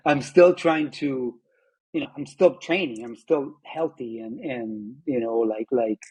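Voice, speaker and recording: A man, telling his own story in an interview, reflective and halting with many fillers and restarts. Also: medium pitch, a little mellow, breathy edge, poor audio. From Italy. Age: 50s. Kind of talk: personal testimony interview.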